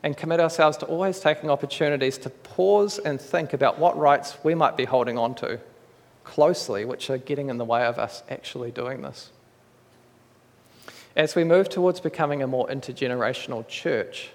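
A man with a New Zealand accent preaching a sermon in an earnest, measured, conversational way, with small restarts. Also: on the high side. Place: church hall. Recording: echoey church hall.

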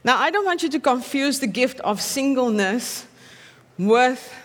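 A woman's voice: high-pitched, animated, measured pace, big-room sound. A woman with an Afrikaans accent preaching a sermon in a conversational way, often checking in with her listeners through tag questions.

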